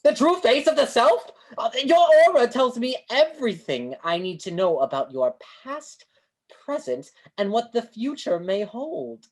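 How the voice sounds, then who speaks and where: A woman's voice: a little high, big pitch swings, unhurried, darkish tone. A woman playing a grandiose, kindly street fortune-teller with florid delivery in a staged play reading over a video call. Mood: mystical, boastful. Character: Great Banzini, grandiose theatrical male street fortune-teller, kindly mystic.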